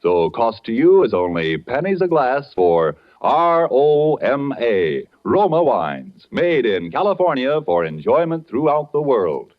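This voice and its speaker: A man's voice: medium pitch, sing-song, unhurried, hardly any pauses. A man announcing a scripted 1940s radio commercial in a polished, formal sales style. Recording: dull vintage radio broadcast, music behind. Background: music.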